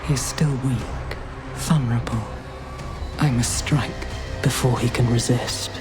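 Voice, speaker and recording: A woman playing a proud, cold demon queen in a game, low-voiced, slow and commanding. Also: fairly even intonation. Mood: cold resolve. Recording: loud music under the voice.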